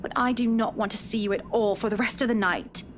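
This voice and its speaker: A woman's voice: a little high, steady tone, fast talker, a little hiss or hum, lightly acted. A woman playing a proud, cross young wife with a pointed, sarcastic manner in a visual novel game. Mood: stern. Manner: pointed, reproachful.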